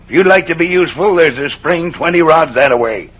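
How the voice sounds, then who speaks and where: Gruff frontiersman, husky, curt drawl, swinging higher pitch, muffled vintage radio Western. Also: lively intonation, fairly quick. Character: gruff, terse, suspicious Western man, sheriff or range cook. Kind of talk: old-time radio Western acting. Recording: muffled old radio broadcast.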